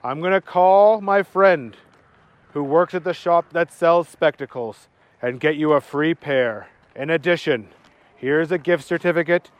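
A man doing a stern fast-food manager. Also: fairly high pitch, dry recording, lo-fi sound, natural voice. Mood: calm. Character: exasperated middle-aged male fast-food manager.

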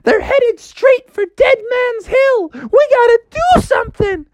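A man's voice: very high-pitched, animated, slow, pitched above the performer's own voice, full character voice. A man voicing a frantic mother frog in a storytold tale, shrieking in panic. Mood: panicked.

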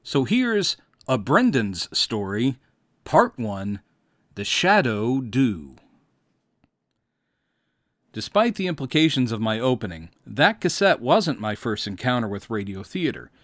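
Animated American man telling stories. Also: mid-range voice, slow pace, dry recording, clean studio sound. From Mississippi, United States. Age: 50s. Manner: wry, theatrical storytelling. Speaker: podcast host. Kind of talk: scripted autobiographical narration.

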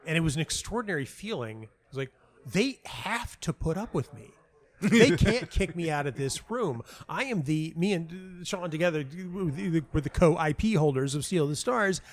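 A man speaking as an interview guest, telling personal anecdotes casually in a halting way, interrupting himself and repeating words for emphasis. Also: fairly high pitch, animated. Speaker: guest, playwright and audio dramatist.

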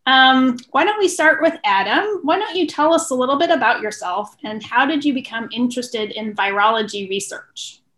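A woman's voice: high voice, moderate pace, fairly bright tone. A woman hosting a podcast, interviewing guests in a casual, conversational way.

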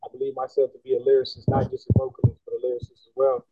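A man's voice: mid-range voice, very fast, breathy edge. Laid-back island man on a tinny line. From Bahamas. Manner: laid-back, slangy, animated when making a point. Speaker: guest singer and songwriter. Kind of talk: casual interview chat. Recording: tinny phone-like line, dry.

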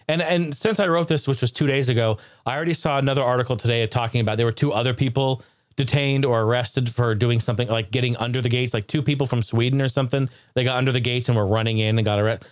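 A man hosting a podcast in casual comedic chat, talking in a jokey, excitable, informal way. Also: mid-range voice, no room sound, clean studio sound. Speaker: podcast host.

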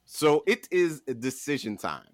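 Animated male podcast host, argumentative. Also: a little high, normal speed, no echo. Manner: argumentative, mock-incredulous, emphatic. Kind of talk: casual comic debate.

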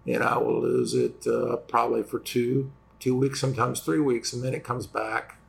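A man with a raspy voice, a lawyer hosting a casual explanatory talk, halting with fillers and restarts as he loses his voice. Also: mid-range voice, flat delivery. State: losing voice after vocal cord injections. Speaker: personal injury lawyer and host.

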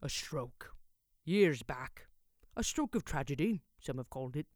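Storybook role: brusque older male blacksmith, loud and barking, rustic dialect, read by a woman. Dry recording. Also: deep voice, expressive, unhurried, dry recording. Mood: wry.

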